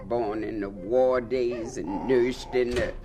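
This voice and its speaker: A woman's voice: very deep voice, steady tone, slow pace, noisy background. An actress playing a weary, anxious enslaved mother with a Southern American accent, emotional and tearful, in a radio drama. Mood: weary, tender.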